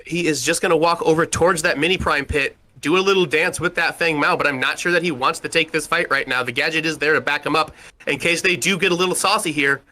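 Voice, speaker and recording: A man calling an esports match as play-by-play caster, with a loud, powerful voice. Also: a little high. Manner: rapid, energetic play-by-play. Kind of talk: play-by-play commentary.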